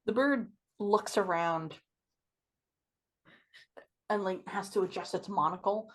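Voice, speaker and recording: Woman game master, mid-pitched voice. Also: takes it slowly. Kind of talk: narrating an online tabletop game. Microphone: online voice call from own mic.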